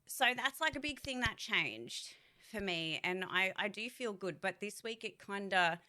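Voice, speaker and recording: A woman's voice: mid-range voice, animated, unhurried, bright, forceful tone. A young woman voice actor chatting frankly in a casual vlog, swearing freely and restarting her sentences as she talks. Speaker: voice actor and streamer. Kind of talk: candid casual vlog chat.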